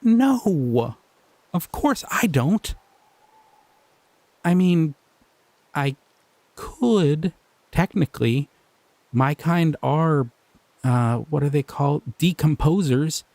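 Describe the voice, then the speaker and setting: A man voicing a gentle, curious male slime creature in an audio roleplay; he is soft-spoken, easily delighted and chuckles often. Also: on the high side, expressive, extremely slow, long pauses, dry recording, exaggerated voice.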